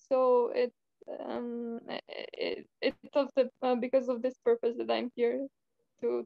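A young woman introducing herself on a video call, her voice high and even with a gravelly fry. The sound is dry and a little muffled. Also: no echo. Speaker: former volunteer.

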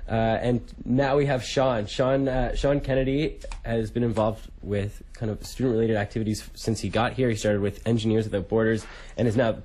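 A young man hosting a casual radio interview, feeling his way through questions with halting phrasing. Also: on the low side, steady tone, darkish tone. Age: young adult. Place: radio studio.

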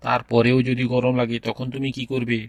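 A man's voice: medium pitch, completely flat delivery, brisk, gravelly, no room sound, close to an everyday voice. A man voicing a strict, impatient education minister who quizzes pupils in a comedy cartoon. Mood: questioning. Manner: bossy, interrogating.

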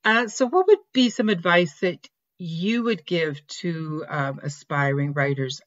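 A woman's voice: fairly low pitch, expressive, measured pace. Woman podcast host on slightly dull audio. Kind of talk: interview conversation.

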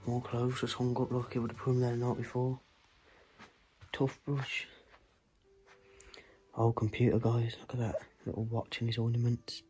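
A man filming himself with a phone as he explores an abandoned house indoors, talking low and hurried to his viewers. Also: completely flat delivery, mellow, a little hiss or hum, rough recording. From England. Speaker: urban explorer filming a walkthrough. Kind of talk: casual handheld vlog commentary. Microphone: handheld phone. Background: background music.

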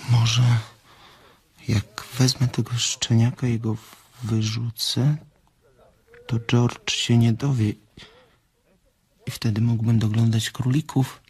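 An actor playing a slow-witted, childlike and anxious farmhand in a radio drama, speaking haltingly and repeating himself. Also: male voice, mid-range voice, level tone, a little mellow, slightly rough. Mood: hopeful scheming. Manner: halting, repetitive, simple.

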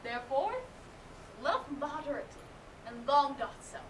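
A woman playing a solemn friar in a Shakespeare play, giving measured, moralizing counsel. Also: sits high, lively intonation. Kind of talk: Shakespearean stage acting. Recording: echoey room, distant mic, faint noise.

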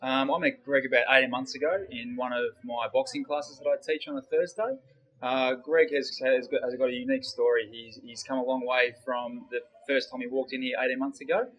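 A man's voice: mid-range voice, fairly even intonation, a little fast, a bit bright, poor audio. A man with an Australian accent, a personal trainer who speaks in a slightly hesitant way, repeating words as he goes. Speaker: personal trainer interviewing a client. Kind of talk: testimonial interview.

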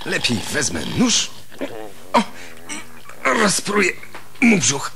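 A man's voice: a little high, huge pitch swings, takes it slowly, very noisy, full character voice. An actor playing a kindly, bold huntsman in a children's radio play, exclamatory and theatrical. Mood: indignant, bold.

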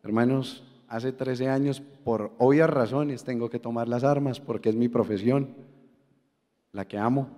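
A man's voice: mid-range voice, measured pace, warm tone. Man testifying in an echoing hall. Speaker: church member giving testimony. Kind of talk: religious testimony to a congregation. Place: large church hall.

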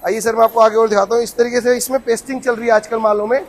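A man showing visitors around a footwear factory, pitching its products with eager, insistent promises. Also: level tone, rapid-fire. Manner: eager salesman, keeps inviting and promising quality. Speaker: footwear factory owner giving a tour. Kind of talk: sales pitch factory tour. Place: footwear factory floor, indoors. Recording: noisy factory machinery behind.

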